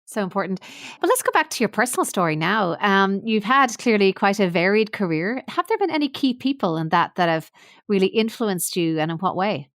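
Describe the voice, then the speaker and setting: A woman hosting a podcast, asking questions in measured, articulate, somewhat formal phrasing. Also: medium pitch, lively intonation, a bit bright, no room sound, studio quality. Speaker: podcast host. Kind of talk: interview questions.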